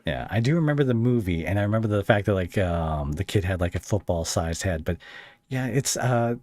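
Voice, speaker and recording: A man hosting a podcast, chatting casually and feeling out his questions haltingly as he thinks aloud. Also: on the low side, lively intonation, a little mellow. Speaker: podcast host interviewing a guest. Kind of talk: casual interview chat.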